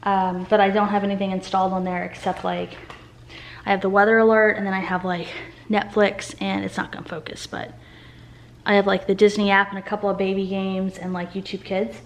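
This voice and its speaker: A woman's voice: mid-range voice, level tone, brisk. A woman in her thirties with an American accent, a mother vlogging in a casual, informal, rambling chatty style. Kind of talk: casual chat to camera. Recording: noisy home-video sound with clicks.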